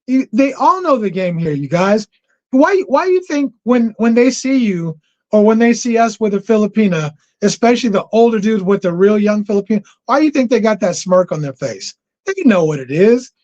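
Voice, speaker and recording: A man hosting a casual livestream and taking callers, animated, often repeating himself for emphasis. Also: high voice, normal speed. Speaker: livestream host. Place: home. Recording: dull lo-fi stream audio.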